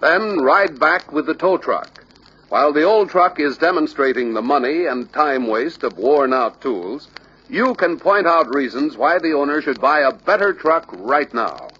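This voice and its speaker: Guy narrating an old sales training film, kinda high husky voice, dull lo-fi soundtrack. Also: expressive, unhurried, bright, forceful tone. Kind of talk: scripted instructional narration.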